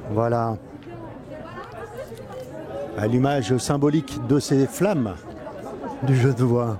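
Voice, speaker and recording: A man giving halting live event commentary as an on-the-spot reporter, often pausing and repeating himself. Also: medium pitch. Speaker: event reporter and club president doing live coverage. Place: outdoors in a town street among a crowd. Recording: outdoor live recording amid crowd noise. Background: crowd and street sounds.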